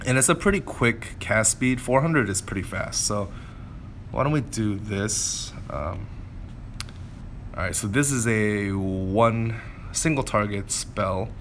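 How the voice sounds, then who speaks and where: A man with a fairly low voice talks through gameplay casually. He thinks aloud and explains things as he goes, over clearly audible background noise. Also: takes it slowly, a bit bright. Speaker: gameplay commentator. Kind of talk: casual game commentary.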